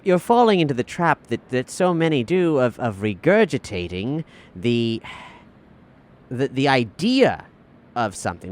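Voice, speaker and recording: A man playing a demanding, pompous acting teacher in an improvised audio role-play, theatrical and exasperated, repeating himself for emphasis. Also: mid-range voice. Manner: dramatic pauses, repeats himself, pompous, searching for words.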